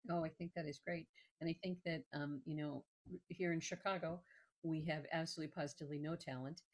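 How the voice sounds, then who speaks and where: A woman's voice: sits low, steady tone, brisk. A woman hosting a conversational interview in an effusive, hesitant, rambling manner. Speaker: show host.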